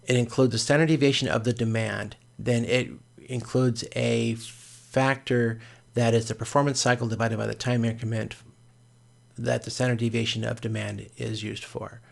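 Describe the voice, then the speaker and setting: A male instructor with a creaky, fry-laden voice at a middling pitch talks through material step by step. His delivery is informal and somewhat halting, with frequent repeats and rephrasing as he explains. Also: flat delivery. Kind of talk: informal explanatory lecture, screen walkthrough.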